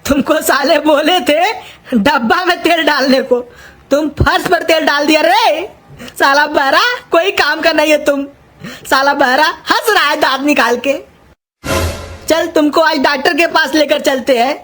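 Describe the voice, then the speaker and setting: A woman voicing a bossy, quick-tempered old uncle in a comedy cartoon, scolding and threatening. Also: sits high, a bit bright, soft background music. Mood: angry, threatening. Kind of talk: Hindi cartoon comedy voice acting.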